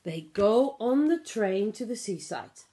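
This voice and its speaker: A middle-aged woman reading a picture book aloud to young children, explaining and asking simple questions in an expressive way. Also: a little high, measured pace. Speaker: pre-school storyteller. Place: small living room at home. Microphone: phone or tablet at about arm's length.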